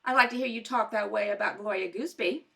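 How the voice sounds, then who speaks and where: A woman's voice: medium pitch, quick pace, vocal fry, bright, forceful tone. A woman playing a nagging, aggrieved, sleepless wife in an old-time radio comedy, complaining dramatically. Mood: accusing.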